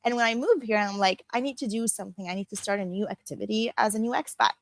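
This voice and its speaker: A woman in her thirties from Lebanon, a coach and trainer, speaking reflectively with small pauses as an interview guest. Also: mid-range voice, dry recording.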